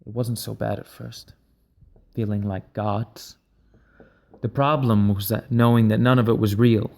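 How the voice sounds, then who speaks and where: Brooding, haunted leader played by a man, dark-voiced. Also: lowish voice, steady tone, unhurried, vocal fry. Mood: haunted, regretful. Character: haunted, intense male leader of dream thieves.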